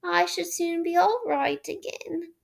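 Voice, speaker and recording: A woman's voice: extremely high pitch, unhurried, vocal fry, pitched above the performer's own voice, full character voice. A woman voicing a conceited male toad disguised as a washerwoman, by turns feeble, wheedling and boastful.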